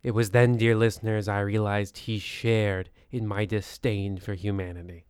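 Man playing a pompous, smooth-voiced spooky story host. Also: lowish voice, flat delivery, darkish tone, no room sound, studio quality, natural voice. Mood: grandly ominous. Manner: pompous, formal, deliberate. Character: pompous misanthropic horror-anthology host, male. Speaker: host and narrator. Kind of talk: scripted theatrical narration.

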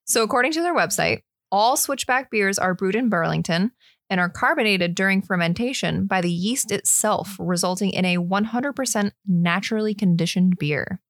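A woman's voice: medium pitch, moderate pace, dry recording, studio quality. A woman co-hosting a beer podcast, giggly, reading brewery facts in casual chat. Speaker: podcast co-host.

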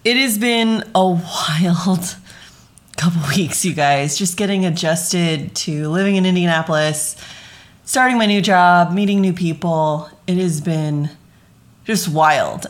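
A woman in her thirties hosting her own podcast, talking in a casual, chatty way with lots of "like" fillers. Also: fairly low pitch. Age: 30s. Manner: chatty, friendly, frequent "like" fillers. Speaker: podcast host. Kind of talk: casual solo podcast chat.

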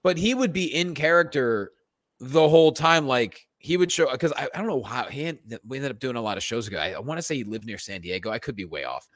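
A man chatting casually and comedically as a podcast co-host, swearing freely as he tells animated stories. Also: medium pitch, fast talker, no echo, studio quality. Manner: profane, animated storyteller. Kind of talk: casual comedic chat, storytelling.

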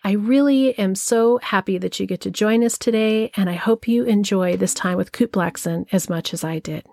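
A woman's voice: mid-range voice, normal speed, creaky, darkish tone, no echo. A woman hosting an interview, introducing her guest in an admiring, gushing way. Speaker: podcast host.

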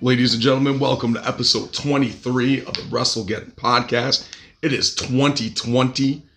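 A man hosting a podcast with crude jokes, his voice raspy from a cold. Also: medium pitch, fairly even intonation, normal speed, a bit bright, faint music behind. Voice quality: gravelly. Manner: crude, joking, upbeat. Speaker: podcast host. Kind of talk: casual podcast chat.

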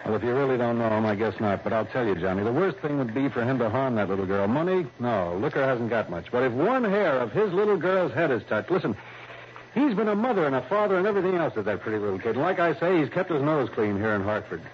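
On a dull, faintly noisy old radio recording, an actor plays a blustering American police lieutenant. He is mid-pitched, insistent and familiar, a suspicious, protective cop in sixties radio-play style. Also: expressive, fast, natural voice. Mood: suspicious, earnest. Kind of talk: 1960s radio drama acting.

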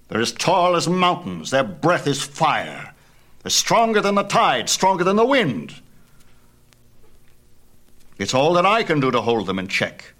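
A man's voice: mid-range voice, fairly bright tone, pitched above the performer's own voice. A man voicing a proud, mighty father god in a myth tale, imperious, theatrical and emphatic. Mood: angry, alarmed. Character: proud, mighty sun god father, booming and quick-tempered. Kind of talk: dramatized myth storytelling.

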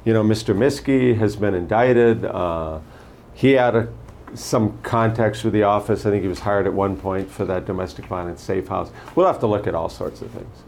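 An older man running for prosecutor, answering interview questions in a measured way and telling stories from his career. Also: lowish voice, creaky, a little mellow, a little hiss or hum. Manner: measured, anecdotal, lists names and past posts. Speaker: candidate for prosecutor.

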